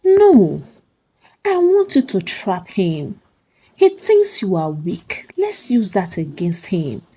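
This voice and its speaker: Female narrator as a bold scheming woman friend, sassy, emphatic, swooping pitch, slightly husky, storybook drama. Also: huge pitch swings, slow pace, mellow, exaggerated voice. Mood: firm urging.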